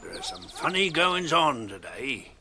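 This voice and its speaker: An actor playing a gruff, hearty older hobbit farmer in a radio drama, folksy and wary of strangers. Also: male voice, fairly high pitch, a little slow, a crowd in the background. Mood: hearty, gossipy. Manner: rustic, folksy, chuckling, animated.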